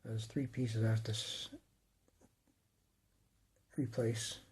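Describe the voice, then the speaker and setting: A man with a rough voice, casually rambling and correcting himself while he shows his model city. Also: fairly low pitch, little pitch movement, slow, a little mellow, a little hiss or hum, low-quality recording. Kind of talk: casual talk-through.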